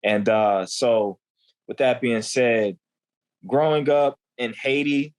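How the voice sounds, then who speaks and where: Casting note: young man, mid-pitched voice, casual, loose, candid storyteller. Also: slow pace, smooth, low-quality recording. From United States. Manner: casual, slangy, repeats "you know what I'm saying". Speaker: guest.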